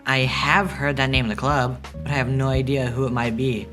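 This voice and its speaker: Radio noir informant, played by a young man: fearful, forceful sharp voice, anxious, mid pitch. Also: flat delivery, fairly quick, faint music behind, close to an everyday voice. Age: young adult. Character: hunted, fearful male informant pleading for help. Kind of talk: 1940s radio noir acting.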